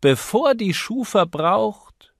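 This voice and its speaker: A man puts on an outspoken young office woman in an audiobook. The voice jumps well up in pitch and swings widely, brusque and mocking. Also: big pitch swings, slow pace, creaky, no echo, studio quality, heavily acted.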